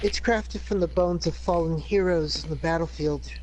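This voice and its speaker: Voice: mid-range voice, normal speed, darkish tone, a little hiss or hum, poor audio. A player in a tabletop role-playing game who talks casually, restarting phrases while thinking aloud. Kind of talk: tabletop play.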